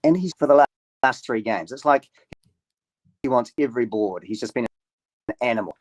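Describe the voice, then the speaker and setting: A man guesting on a sports podcast over a remote call, rambling and halting as he reels off stats. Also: medium pitch, animated, dry recording. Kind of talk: casual sports podcast chat. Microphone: remote call mic.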